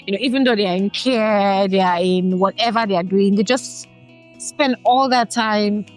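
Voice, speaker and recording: Host: woman, mid-pitched, chatty questions packed with fillers, faint music underneath. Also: normal speed. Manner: warm, rambling questions with many fillers. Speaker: interview host. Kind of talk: conversational interview.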